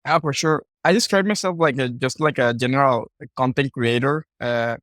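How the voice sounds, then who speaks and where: A man from Mexico with a Mexican Spanish accent, a native Spanish speaker, talking casually as a podcast guest in halting, repetitive phrases. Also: medium pitch, fairly bright tone, no echo, studio quality. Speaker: podcast guest, content creator. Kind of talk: casual interview talk.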